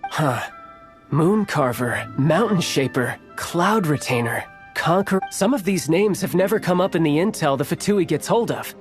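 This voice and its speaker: Smooth young schemer agent, friendly but sly, teasing, game cutscene w/ light music. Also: male voice, fairly high pitch, lively intonation, measured pace. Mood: confiding. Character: suave young male foreign agent, slyly friendly schemer. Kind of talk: video game cutscene acting.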